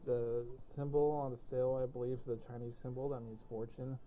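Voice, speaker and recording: A young man with a cold-roughened, hoarse voice, casually commenting while playing a video game, explaining things with many fillers. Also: medium pitch, flat delivery, a little fast. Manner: rambling, explanatory, self-deprecating, many fillers. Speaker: gameplay commentator. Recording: tinny phone-like audio with faint hiss.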